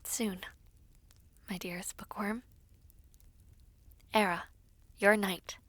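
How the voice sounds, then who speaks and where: A woman playing a devoted young female knight in an audio roleplay, reading letters in a tender, wistful, sometimes breathy manner. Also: mid-range voice, steady tone, takes it slowly, a bit bright, no echo. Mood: tender, hushed.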